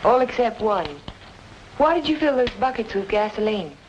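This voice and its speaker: An actress playing a determined, sharp-witted woman in a noir radio mystery, with crisp, insistent delivery. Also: fairly high pitch, lively intonation, normal speed, muffled recording, a little hiss or hum, close to an everyday voice. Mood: insistent, knowing. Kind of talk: old-time radio noir acting and narration. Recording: old radio drama with music behind.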